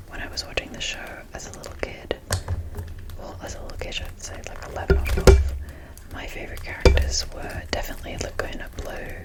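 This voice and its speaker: A young woman with an Australian accent speaking in a breathy whisper, rambling casually in an ASMR show-and-tell style. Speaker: ASMR creator showing a doll collection.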